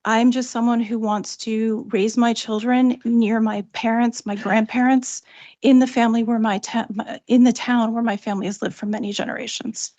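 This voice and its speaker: A woman's voice: on the high side, flat delivery, normal speed, dark tone. A young woman with a cold and a fading voice, earnestly reading a prepared public comment at a meeting, with frequent ums. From Cape Cod, Massachusetts. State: has a cold, losing her voice.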